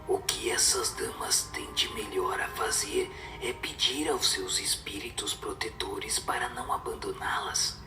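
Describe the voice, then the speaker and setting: A man voicing a stern superior spirit in a breathy whisper, giving solemn, severe moral counsel in an audio drama. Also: on the low side, measured pace, hardly any pauses, reverberant, over music, pitched below the performer's own voice, close to an everyday voice. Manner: solemn, admonishing. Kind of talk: Portuguese-language audio drama of a spiritist book.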